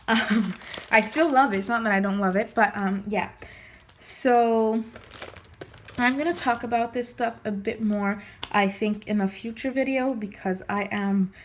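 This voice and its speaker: A woman chatting casually in a vlog, her voice slightly hoarse as she gets over losing it, rambling with many restarts and fillers. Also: mid-range voice. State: recovering from lost voice, slightly hoarse. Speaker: scrapbooking vlogger. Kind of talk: casual show-and-tell chat.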